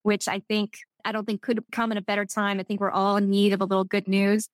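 A woman's voice: mid-range voice, monotone, fast talker, no echo, studio quality. A woman from Texas with a Texan accent, a guest on an interview podcast, speaking in a thoughtful, earnest way. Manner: thoughtful, earnest, some restarts. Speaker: guest, food manufacturing executive. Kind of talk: casual interview chat.

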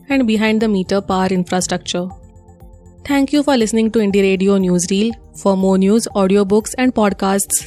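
A woman's voice: medium pitch, a little mellow. A woman with an Indian accent presents a scripted news bulletin.

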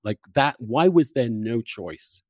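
A male podcast interviewer presses a point conversationally, stacking and rewording his questions with some insistence. His pitch is average for a man, and the sound is very dry, without room reflections. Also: lively intonation, takes it slowly, a little mellow, dry recording. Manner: insistent, rephrasing questions as he goes.

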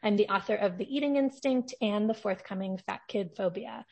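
A woman in her forties, a journalist hosting an interview podcast in a calm, lowered radio voice. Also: brisk, slightly rough. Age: 40s.

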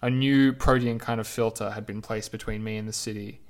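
A man reading his own reflective essay aloud in a measured, lyrical, unhurried way. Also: fairly low pitch, fairly even intonation.

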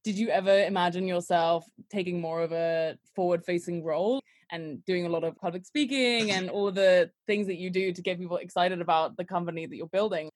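A woman's voice: fairly low pitch, steady tone, brisk, few pauses, darkish tone, no echo, studio quality. A woman hosting an interview, asking questions and giving encouraging, affirming replies. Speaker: interviewer host. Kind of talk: conversational interview.

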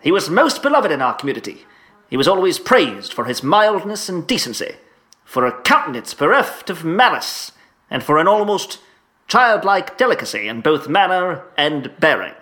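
An actor playing a pompous, sputtering Victorian headmaster in a comedic audio drama. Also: male voice, on the high side, sing-song, moderate pace, pushed and bright. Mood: pompous, dismissive. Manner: pompous, sputtering, old-fashioned exclamations. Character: pompous, blustering 1850s English boarding school headmaster.

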